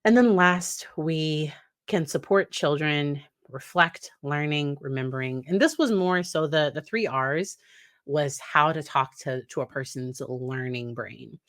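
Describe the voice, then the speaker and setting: A woman in her thirties, a psychologist talking casually to camera about parenting, with a naturally deep voice and a conversational manner. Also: a little slow, no echo, clean studio sound. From United States. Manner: conversational, warm laughs, acts out quoted dialogue in a higher voice. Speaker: licensed psychologist, host. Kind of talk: casual educational talk to camera.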